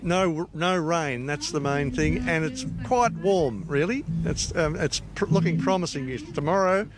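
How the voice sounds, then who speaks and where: An Australian man, an event organiser, giving an informal, halting interview on location. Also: on the high side, animated, a crowd in the background. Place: outdoors by an event tent. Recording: loud music and event noise behind.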